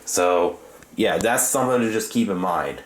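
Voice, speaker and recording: Low-voiced man rambling in an echoey room. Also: lively intonation. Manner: halting, rambling delivery with self-corrections. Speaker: hobbyist video host reviewing his radio collection. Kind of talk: unscripted casual show-and-tell commentary. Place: indoors in rooms at home. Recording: echoey room with faint background hiss.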